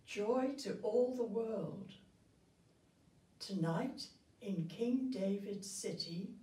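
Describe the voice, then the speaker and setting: An older woman voicing a joyful angel in a storybook, proclaiming good news deliberately. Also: medium pitch, mellow. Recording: roomy, distant microphone.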